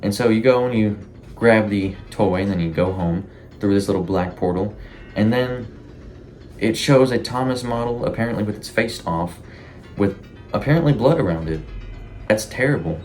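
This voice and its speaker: A man giving casual, rambling, informal commentary as a YouTube host, with animated reactions. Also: on the low side, moderate pace, soft background music. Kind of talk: casual commentary on a horror series.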